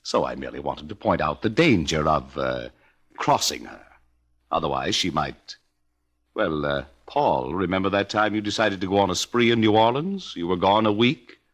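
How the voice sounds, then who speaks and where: Low, husky, sardonic uncle. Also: male voice, animated, moderate pace. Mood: sardonic, needling. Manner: mock-polite, pointed, formal. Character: bitter, sardonic uncle of a decaying wealthy family. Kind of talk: old-time radio drama acting.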